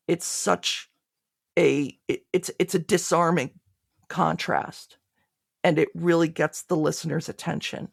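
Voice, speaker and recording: A woman's voice: on the low side, gravelly, dry recording, clean studio sound. A woman being interviewed, speaking reflectively and conversationally, often restarting her phrases mid-thought. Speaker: podcast creator being interviewed.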